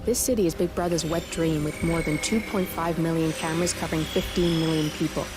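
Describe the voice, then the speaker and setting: A woman's voice: low voice, fairly even intonation, fairly quick, over music, close to an everyday voice. A woman playing a jaded, blunt and sardonic hacker who briefs an assassin in a video game. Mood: wry, focused. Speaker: hacker handler briefing an agent. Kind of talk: video game cinematic acting, mission briefing.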